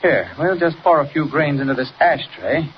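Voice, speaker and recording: An American actor playing an earnest, quick-witted newspaper reporter hero in a 1940s radio serial, speaking with urgent assurance. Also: male voice, on the high side, expressive, lightly acted. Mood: assured, urgent. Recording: muffled, noisy 1940s radio recording.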